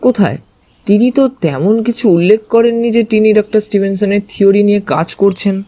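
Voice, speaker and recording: A man's voice: high voice, moderate pace, mellow, voice pushed up, lightly acted. A male narrator voicing a puzzled young investigator in an audio story, questioning and surprised. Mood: puzzled surprise.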